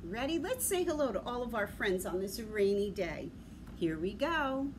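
A middle-aged woman talking to young children as a library story time host, in an animated, sing-song way with simple questions. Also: medium pitch, normal speed. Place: large library room with big windows, indoors. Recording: clear background noise, room ambience.

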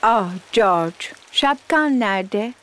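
A woman voicing a caring, gentle mother pig in a children's cartoon dub, soothing and motherly. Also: medium pitch, animated, drawn-out words, background noise. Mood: sympathetic.

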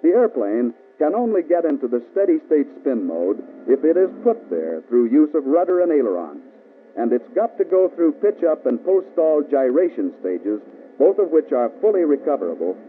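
A man's voice: fairly high pitch, sing-song, moderate pace, phone-quality sound, slight background noise. American man narrating an old band-limited training film. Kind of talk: scripted instructional narration, mid-century military film.

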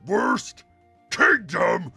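Gravelly monster king,, cartoon bully, wildly swinging pitch, sneering, grumpy, boastful. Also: big pitch swings, extremely slow, sharp tone, no room sound, faint music behind, full character voice. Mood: grumpy, sarcastic. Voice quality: gravelly, growling. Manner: sneering, mocking, blustering. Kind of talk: cartoon character fan dub.

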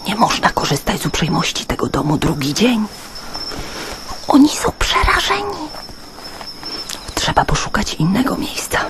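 An actress playing an exhausted, anxious female refugee in a radio play, speaking in a breathy whisper. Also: medium pitch, huge pitch swings, moderate pace, pauses often, heavy background noise. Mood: hushed worry.

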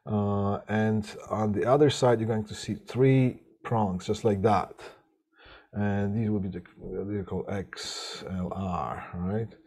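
Male instructor, middle-aged, low-ish husky tone, halting live-class delivery with frequent "right?" check-ins. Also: dark tone. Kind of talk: live online lecture on audio systems.

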